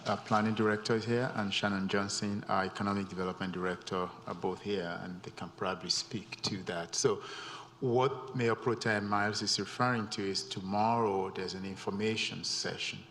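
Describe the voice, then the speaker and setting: A man speaking as town staff at a council meeting, steady and full of ums. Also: fairly low pitch, normal speed. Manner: steady, filler-heavy. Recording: reverberant council chamber, faint room noise.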